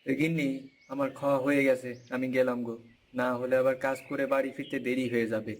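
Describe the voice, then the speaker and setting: A man's voice: medium pitch, flat delivery, quick pace, a little hiss or hum, lightly acted. A man voicing a good-natured poor village farmer and husband in a cartoon fairy tale. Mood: agreeable.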